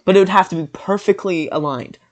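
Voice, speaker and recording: A man's voice: sits high, fairly quick. A young man co-hosting a casual podcast over an online call, talking in a joking, rambling way. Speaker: podcast co-host. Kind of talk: casual chat.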